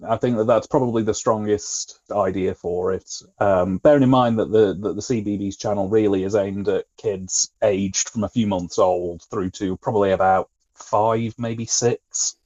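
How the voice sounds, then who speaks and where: Uomo dalla voce bassa, audio ovattato. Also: male voice, fairly even intonation, normal speed, few pauses, a little mellow, no echo, dull audio. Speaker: podcast co-host. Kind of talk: casual film discussion.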